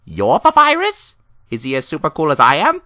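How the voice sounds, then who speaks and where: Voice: medium pitch, wildly swooping pitch, normal speed, bright, forceful tone, dry recording. An actor voicing a dramatic skeleton monster in a comic dub, with an exaggerated, declamatory manner. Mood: excited, admiring. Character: dramatic skeleton monster, swinging between haughty and eager. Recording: dull lo-fi audio.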